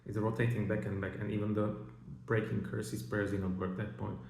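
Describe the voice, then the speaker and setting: A man giving informal spiritual teaching and personal testimony in halting, nonnative phrasing. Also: lowish voice, flat delivery, creaky, darkish tone. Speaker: religious teacher. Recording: roomy, echoing voice recording.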